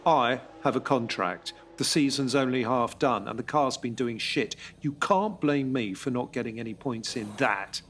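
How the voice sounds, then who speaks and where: A man playing a bitter, defensive racing driver in an audio story, with a husky voice and an indignant, sweary manner. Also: medium pitch, moderate pace, hardly any pauses, fairly bright tone, a little hiss or hum, natural voice. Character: bitter, defensive male racing driver, losing his job.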